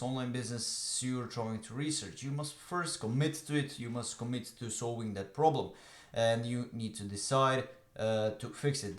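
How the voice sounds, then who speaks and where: A young man from Croatia with a Croatian accent, giving an earnest motivational talk to camera with many fillers. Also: mid-range voice. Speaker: online business coach. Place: room at home, evening. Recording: echoey room.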